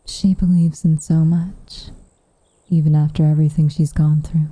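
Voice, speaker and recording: A woman voicing a guarded, secretly tender tsundere witch in soft, often whispered ASMR roleplay, with coy, hesitant, wryly formal phrasing. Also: deep voice, flat delivery, moderate pace, slightly rough, no room sound. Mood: guarded, wistful.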